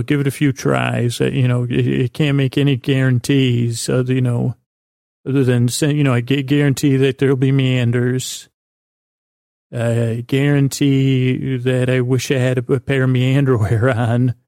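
A man with a creaky voice hosting a sleep podcast, rambling in a halting, meandering way and often stumbling over his words. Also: mid-range voice, darkish tone, dry recording, clean studio sound. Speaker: podcast host. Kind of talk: rambling bedtime-story sleep podcast monologue.

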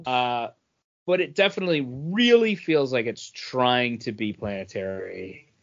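The recording is a little dull and band-limited. A man with a middle-range voice chats casually as a podcast co-host reviewing comics. He speaks haltingly, working out his thoughts as he goes. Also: medium pitch, expressive, gravelly. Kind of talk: casual chat.

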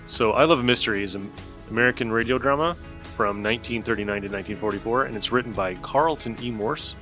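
A man's voice: mid-range voice, fast, bright, forceful tone, soft background music. A man hosting a podcast, chatting casually as he introduces old radio shows. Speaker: podcast host.

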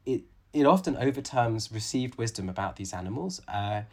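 A man's voice: medium pitch, animated, moderate pace, darkish tone. A man in his late thirties, a biologist being interviewed, talking thoughtfully with many restarts and fillers. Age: 30s. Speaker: guest, biologist and author. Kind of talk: conversational interview.

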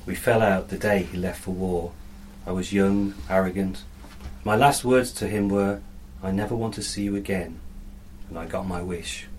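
A woman's voice: a bass voice, normal speed, darkish tone, slight background noise, voice pushed down, low-quality recording. A woman voicing a forlorn, regretful elderly man in a story, speaking in a wistful, confiding, old-fashioned way.

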